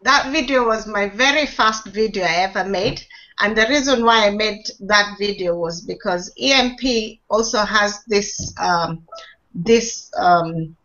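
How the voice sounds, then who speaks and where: Woman on a video call, talks real slow and unsure, muffled lo-fi sound. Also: mid-range voice, bright, forceful tone. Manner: hesitant, many pauses and restarts. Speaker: online marketing member. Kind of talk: live video call chat. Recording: dull lo-fi video call audio.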